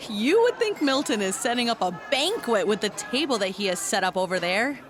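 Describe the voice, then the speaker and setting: A woman playing an excitable, curious young sidekick in an audio drama, with an enthusiastic, casual way of talking. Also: a little high, a little fast. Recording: busy restaurant crowd behind.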